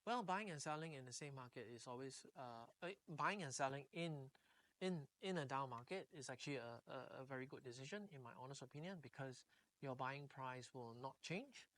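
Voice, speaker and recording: A man's voice: medium pitch, lively intonation, fairly quick, a bit bright, low-quality recording. A middle-aged man with a Singaporean accent, talking casually as an interview guest. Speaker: podcast guest, real estate agent.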